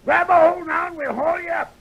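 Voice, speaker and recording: An actor playing a gruff, feisty old prospector with a folksy American drawl in an old radio western. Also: male voice, steady tone, brisk, dull audio. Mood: shouting threats. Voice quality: creaky, cracked high voice. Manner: folksy frontier drawl, blustering. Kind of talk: 1930s-40s radio western acting. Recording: vintage radio recording.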